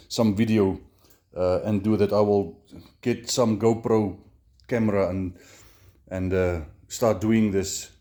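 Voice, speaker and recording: A middle-aged Namibian man with an Afrikaans accent, chatting casually in a self-made review, halting and repeating words with many fillers. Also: fairly low pitch, warm tone. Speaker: motorcycle vlogger.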